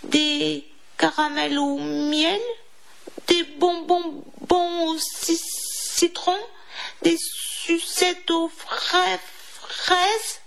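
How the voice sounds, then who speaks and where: Woman doing a high-pitched, timid little mouse. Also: slow, a little hiss or hum, rough recording. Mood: frightened, stammering. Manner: pleading, stammering, eager to please. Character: small timid, pleading, eager female mouse. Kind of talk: children's storybook character voice.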